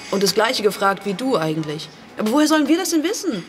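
An actress playing a frustrated, defiant activist in an audio drama, speaking in a clipped, insistent way. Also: female voice, medium pitch, big pitch swings, quick pace, in a large hall, over music, lightly acted. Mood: indignant. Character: defiant, frustrated female activist recounting police betrayal. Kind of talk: naturalistic audio drama acting.

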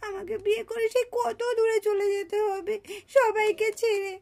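A woman's voice: very high voice, flat delivery, moderate pace, gravelly, darkish tone. A woman voicing an emphatic, scolding village female in a puppet family drama. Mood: unhappy. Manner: emphatic, scolding, repeats words in protest. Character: high-pitched village women and girls in a puppet family drama. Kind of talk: dramatized Bengali puppet-show dialogue.

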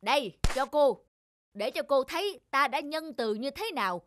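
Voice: high voice, animated, normal speed, fairly bright tone, dry recording, clean studio sound. An actor playing a greedy, callous male innkeeper in a cartoon, talking in a sly, mocking manner. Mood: mock-generous. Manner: sly, scornful.

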